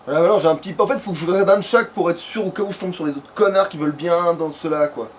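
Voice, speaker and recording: A man's voice: sits high, rapid-fire. A man giving casual, slangy, sweary let's play commentary while chatting with a partner. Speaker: let's player. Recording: clearly noisy home recording.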